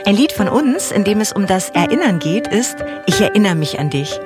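A woman's voice: medium pitch, big pitch swings, normal speed, over music. A woman, co-hosting a children's radio talk show in a chatty, explanatory, conversational way. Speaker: radio talk show co-host and band member.

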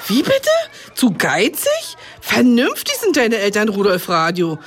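Sarcastic kindergarten teacher. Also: female voice, a little high, huge pitch swings, measured pace, slight background noise. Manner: sarcastic, scolding.

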